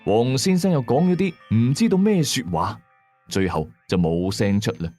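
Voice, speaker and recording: A man's voice: medium pitch, expressive, normal speed, dark tone, soft background music. A man with a Hong Kong Cantonese accent narrating a first-person horror story as a storyteller.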